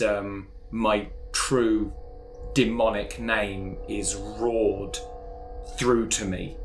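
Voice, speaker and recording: A man's voice: lowish voice, a bit bright. A man playing a tabletop role-playing game, improvising his character's story in a hesitant, think-aloud way. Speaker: player in a role-playing podcast.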